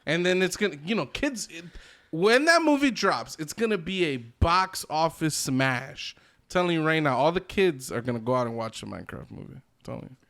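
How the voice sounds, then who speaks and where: Give this man a fairly high voice with very lively, swooping intonation. He chats casually as a podcast co-host, pushing his points emphatically and tossing in slangy swearing. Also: moderate pace. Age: adult with a child. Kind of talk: casual group podcast chat.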